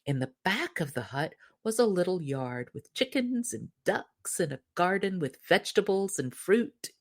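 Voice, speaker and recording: A woman reading a fairy tale aloud as scripted narration, in an expressive storytelling manner. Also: lowish voice, moderate pace. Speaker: story reader.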